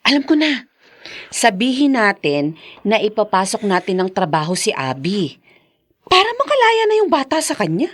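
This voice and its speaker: Expressive, concerned gossipy neighbour woman. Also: medium pitch, normal speed. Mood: pleading. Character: Pilar, caring, gossipy, pleading neighbour woman. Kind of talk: Tagalog radio drama acting.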